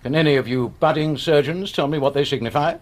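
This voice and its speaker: An actor playing a brisk, sardonic, superior British surgeon in a radio drama, with a dry lecturing manner. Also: male voice, fairly high pitch, natural voice. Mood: brisk, sarcastic.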